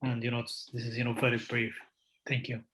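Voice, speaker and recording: A man's voice: medium pitch, monotone, a little fast, a bit bright, rough recording. A man, a research fellow, introducing himself loosely and leaning on filler phrases.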